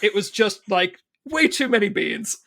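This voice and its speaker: A person chatting casually and playfully, with deliberate, emphatic phrasing. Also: medium pitch, animated, a bit bright. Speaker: podcast cast or crew member asking questions. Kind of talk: casual chat, playful interviewing and storytelling.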